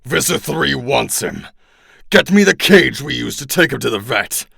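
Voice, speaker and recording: A man voicing a cruel, alien-possessed middle-aged man who snarls threats and shouts. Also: medium pitch, animated, moderate pace, vocal fry, breathy edge, dry recording, studio quality, heavily acted. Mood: cold threatening.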